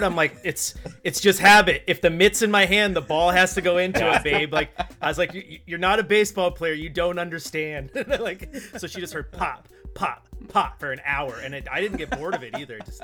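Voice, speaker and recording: An American man chatting casually as a podcast guest, telling things in an animated, emphatic way. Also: a little high, fairly quick, few pauses, soft background music. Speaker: podcast co-host appearing as guest.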